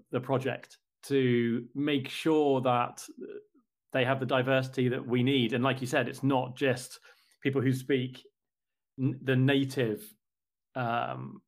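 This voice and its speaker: A man answering interview questions about his company, in a measured, thoughtful way with occasional restarts. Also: medium pitch. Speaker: company representative, guest.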